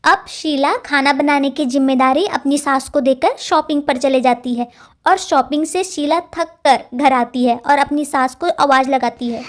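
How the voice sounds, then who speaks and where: A woman's voice: sits high, measured pace. A woman reading scripted narration for a family moral story.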